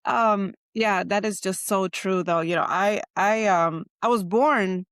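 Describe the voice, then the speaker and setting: A woman's voice: mid-range voice, measured pace, no echo, studio quality. Laughing woman host, Spanish native speaker. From Houston, Texas. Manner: warm, laughs easily, hesitant fillers. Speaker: podcast host. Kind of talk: conversational interview.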